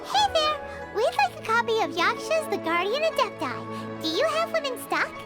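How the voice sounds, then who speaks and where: A woman voicing a tiny, childlike, chirpy fairy companion in an English video game dub, squeaky and inquisitive. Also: very animated, normal speed. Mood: curious, perky. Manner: chirpy, exclamatory, speaks of herself in third person. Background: tinkling game music.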